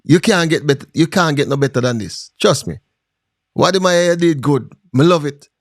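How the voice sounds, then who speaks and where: A man's voice: a little high, normal speed, dry recording. Jamaican man, emphatic rhetorical commentary, dry close sound. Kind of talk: casual commentary to camera.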